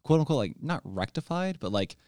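A man's voice: a little high, big pitch swings, fairly quick, a little mellow, no echo, studio quality. A young man, a church intern, talking earnestly and eagerly in a casual podcast conversation, with lots of fillers. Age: young adult. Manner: earnest, enthusiastic, fillers and restarts. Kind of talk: casual chat.

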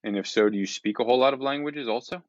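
A man's voice: medium pitch, quick pace, no room sound. A man hosting a podcast interview, asking follow-up questions and summing up his guest's answers in a friendly, casual way. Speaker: podcast host. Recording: dull, dry lo-fi sound.

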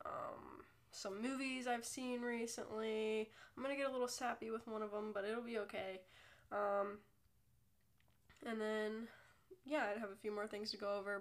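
A woman's voice: fairly high pitch, a bit bright. Congested young woman, chatty podcast host. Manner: chatty, self-deprecating, rambling. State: congested from a cold. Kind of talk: casual solo podcast chat.

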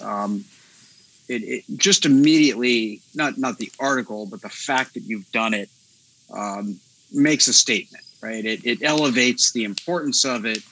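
A man co-hosting a podcast, thinking aloud in a relaxed, meandering way with many fillers. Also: medium pitch, rough recording. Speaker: podcast co-host. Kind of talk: casual conversation.

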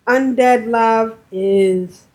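A woman with a high voice co-hosts a relaxed gaming podcast. She reads listener messages aloud in a cheerful, affectionate way and giggles between lines. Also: very slow. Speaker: podcast co-host. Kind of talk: casual chat, reading listener emails aloud.

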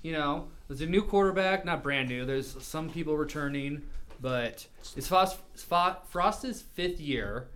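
A man's voice: fairly high pitch, unhurried, a bit bright. A man co-hosting a casual podcast, joking with friends and laughing often. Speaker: podcast co-host. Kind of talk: casual banter.

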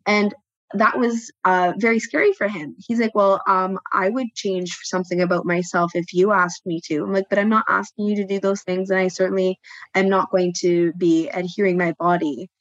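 A woman whose first language is French, a guest speaking reflectively with frequent pauses and restarts. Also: medium pitch, steady tone, no room sound, lo-fi sound. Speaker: podcast guest, tattoo studio co-owner. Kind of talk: personal interview talk.

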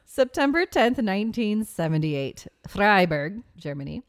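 A woman's voice: medium pitch, very animated, a little slow. A woman co-hosting a podcast, telling stories in a conversational way and adding asides as she reads out accounts. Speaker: podcast co-host. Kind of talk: casual storytelling chat about a legend.

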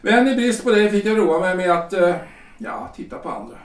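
Echoey, off-mic period drama: male actor as pompous, stammering lawyer husband with very lively intonation. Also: high voice, far from the mic. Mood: teasing, rambling. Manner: hesitant, rambling, stammering, self-satisfied. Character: Gustav, chatty, self-important 43-year-old lawyer-politician husband. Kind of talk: period stage-play audio drama.

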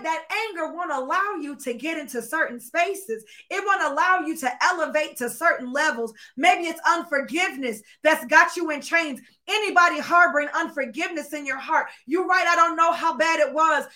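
A woman preacher with an American accent delivers a fiery sermon in a very high, bright voice. She is emphatic and repetitive, pressing each point on the listener and often climbing toward a shout. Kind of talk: impassioned sermon.

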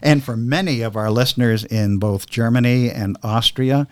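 A man co-hosting a story-reading show with a measured, pausing delivery, both reading aloud and talking. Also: medium pitch, fairly even intonation, smooth, no room sound. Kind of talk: dramatic poem reading and casual talk.

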